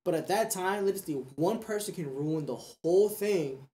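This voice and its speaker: A man's voice: high-pitched, animated, normal speed, dark tone. A young man chatting casually on a podcast, earnest and slangy, often repeating himself for emphasis. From United States. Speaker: podcast speaker. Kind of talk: casual chat.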